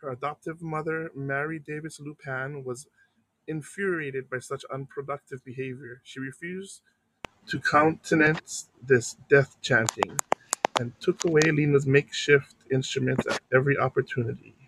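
A man from Hawaii, reading a biography aloud on the radio and pausing now and then to explain local words in a casual aside. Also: mid-range voice, steady tone, a bit bright, slight background noise, low-quality recording.

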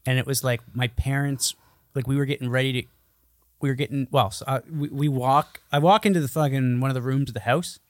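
A man's voice: mid-range voice, steady tone, brisk, no echo. Guy podcast cohost just chatting, swears a lot, crude jokes, dry close sound. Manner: crude, profane, joking. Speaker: podcast co-host. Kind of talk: casual chat.

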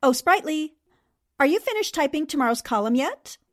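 A woman's voice: high-pitched, very animated, normal speed, slight background noise. An actress playing a clever, earnest young woman newspaper columnist in 1940s radio drama style. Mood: bright, eager.

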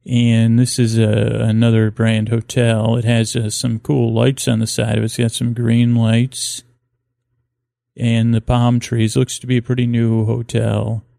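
A man hosting a bedtime podcast, talking in a halting, meandering, improvised monologue with a creaky voice that drifts into tangents. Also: medium pitch, completely flat delivery, warm tone, no room sound, clean studio sound. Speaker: host of a bedtime story podcast. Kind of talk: rambling, improvised sleep-aid monologue.

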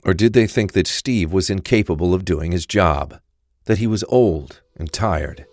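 A man's voice: sits low, unhurried, a little mellow, dry recording, clean studio sound. A man narrating a crime thriller audio drama from a script.

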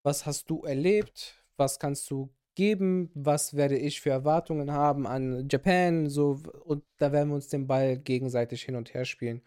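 High, smooth-voiced joking podcast host. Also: male voice, fairly even intonation, moderate pace, few pauses, warm tone. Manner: joking, laughs often, many fillers and restarts. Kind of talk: casual podcast chat.

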